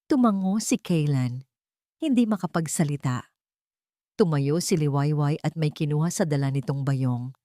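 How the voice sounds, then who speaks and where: Low-pitched woman narrating a dramatic story. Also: expressive, normal speed, dark tone, dry recording, clean studio sound.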